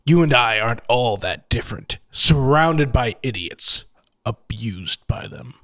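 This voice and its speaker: An actor playing a gloating, sardonic killer who collects machines, with mocking, theatrically polite menace in an audio horror story. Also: male voice, medium pitch, animated, a little slow, fairly bright tone. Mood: amused, sardonic.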